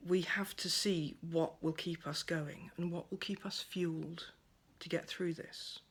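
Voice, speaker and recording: A woman giving a gentle, thoughtful monologue at home by a window, her voice soft and at times close to a whisper. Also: fairly low pitch, steady tone, unhurried, breathy edge. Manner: soft-spoken, thoughtful, drifts into near-whispers. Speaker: pagan speaker sharing reflections. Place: at home by a window over a garden.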